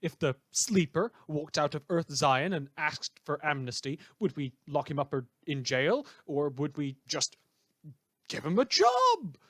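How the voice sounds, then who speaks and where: Guy doing a ranty radio show host, sardonic and indignant, voice a bit high, really dry close sound. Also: very animated, measured pace, no room sound. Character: opinionated male radio host ranting about corrupt heroes. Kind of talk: fictional radio show monologue.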